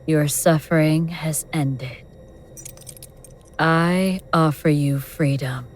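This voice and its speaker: A woman playing a calm, menacing female elder god in a horror audio drama, composed, commanding and coolly mocking. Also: sits low, extremely slow, heavily acted.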